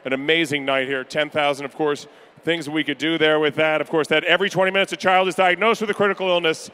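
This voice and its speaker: A man calling pledges at a charity gala in a banquet room, energetic and upbeat with rapid-fire banter to the crowd. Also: fairly high pitch, clear, resonant tone. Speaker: charity auctioneer. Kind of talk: live fundraising pledge calling.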